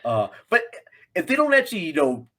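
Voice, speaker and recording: A man appearing as a guest film reviewer, chatting casually in a halting way as he thinks aloud. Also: sits high, huge pitch swings, a bit bright.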